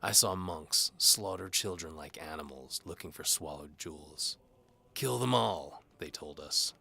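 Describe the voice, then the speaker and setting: A man's voice: rumbling low, unhurried, gravelly, sharp tone, slightly rough, exaggerated voice. A man playing a cynical, sarcastic down-on-his-luck mercenary bowman who tells his own story in a wry, noir audio drama style. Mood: bitter, defiant. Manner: sardonic, wry. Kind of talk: first-person noir audio drama narration.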